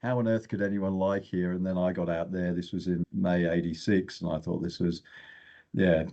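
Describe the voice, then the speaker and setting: Older guy telling stories on an interview, really low flat voice, audio a bit dull. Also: moderate pace. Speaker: interview guest, exploration geochemist. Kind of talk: casual storytelling in an interview.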